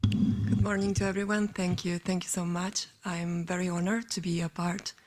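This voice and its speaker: A woman from Uruguay who works in national climate policy, introducing herself earnestly and a little haltingly. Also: lowish voice, level tone, darkish tone. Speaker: new board member, climate policy official. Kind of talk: brief self-introduction.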